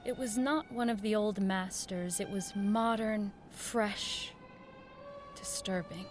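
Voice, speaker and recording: A woman's voice: medium pitch, fairly even intonation, slow pace, soft background music, natural voice. An actress playing an earnest, nervous woman who works in network radio, in radio drama dialogue and narration. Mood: haunted, awed.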